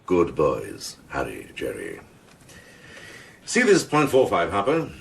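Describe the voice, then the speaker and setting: A man playing a smug senior police officer in a radio crime drama, gravelly, florid and sardonic. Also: sits low, wildly swooping pitch, slow, far from the mic, full character voice. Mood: smug, grandiose.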